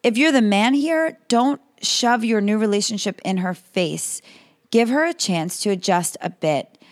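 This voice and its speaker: A woman hosting a podcast, slightly hoarse, reading calm advice in a scripted monologue. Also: medium pitch, a little slow, dry recording, clean studio sound. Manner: calm, advising. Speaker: podcast host.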